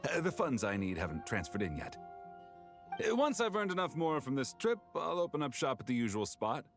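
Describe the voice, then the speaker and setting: A man's voice: medium pitch, sing-song, a little fast. A man voicing a friendly, easygoing adventurer in a video game, chatty and folksy. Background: music.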